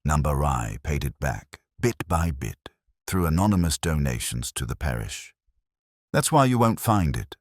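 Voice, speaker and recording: A man voicing an anxious, resigned retired schoolmaster in a story, with an earnest, confessional manner and a baritone voice. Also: very animated, a little slow, no room sound, clean studio sound, exaggerated voice. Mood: defensive.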